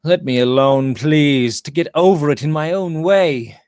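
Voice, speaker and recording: A man's voice: on the high side, measured pace, darkish tone, smooth, no echo, studio quality, natural voice. A man reading the part of a brooding, agitated gold prospector in an audiobook, with dramatic, impassioned delivery. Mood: anguished.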